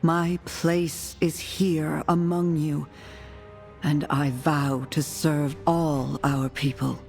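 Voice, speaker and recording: An actress playing a solemn, humble undead noblewoman in a video game cutscene, measured and earnest. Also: low voice, little pitch movement, gravelly, a little mellow, exaggerated voice. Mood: sorrowful, earnest. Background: music.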